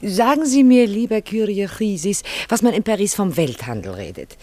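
A woman's voice: medium pitch, big pitch swings, normal speed, warm tone. An actress playing a shrewd, direct woman trader in a German radio play, with a questioning, businesslike manner. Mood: businesslike worry.

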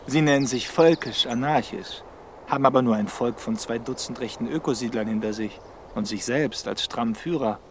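A man's voice: medium pitch, moderate pace, a little hiss or hum, natural voice. A man playing a stubborn, probing police detective with a dryly sardonic manner in a naturalistic radio drama. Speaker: actor in an audio drama.

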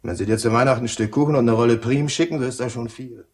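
An actor playing a cynical, gruff prison cellmate in a radio drama, with a colloquial, mocking, know-it-all manner. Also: male voice, mid-range voice, very fast, close to an everyday voice. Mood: scornful lecturing. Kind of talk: German radio drama acting.

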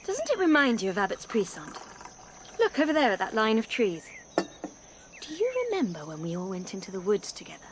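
A woman's voice: mid-range voice, huge pitch swings, moderate pace, noisy background. An actress playing an earnest, genteel young woman, polite in manner, in a radio drama. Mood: nostalgic. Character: Nell, earnest, genteel young English nurse and wife.

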